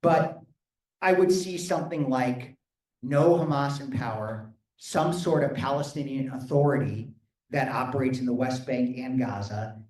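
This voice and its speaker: Rabbi lecturing in an echoey hall. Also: male voice, medium pitch, a little slow, a little mellow, distant mic. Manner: thoughtful, thinking aloud with restarts. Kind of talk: lecture answering audience questions. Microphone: microphone failing, speaking loud from a distance.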